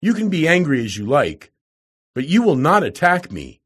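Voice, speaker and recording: A man's voice: a little high, big pitch swings, moderate pace, a bit bright, husky, pitched above the performer's own voice, heavily acted. A man voicing a polite young journalist who bluffs as a student, in an audiobook. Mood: defiant. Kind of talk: audiobook character voice.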